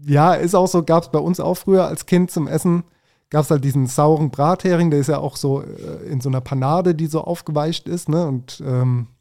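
A man's voice: fairly high pitch, steady tone, moderate pace, warm tone. A man co-hosting a food podcast, talking casually with informal slang and the odd English phrase.

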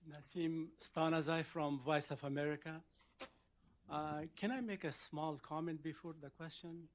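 Guy from afghanistan asking a question at a briefing, kinda high voice, lots of uh's and pauses. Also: fairly even intonation, a little hiss or hum. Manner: halting, with frequent "uh" pauses.

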